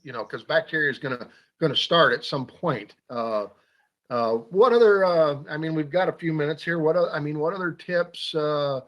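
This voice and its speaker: A man hosting a hunting talk show, talking casually with lots of fillers and restarts. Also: a little high. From Iowa. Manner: halting, many fillers and restarts. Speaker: radio show host.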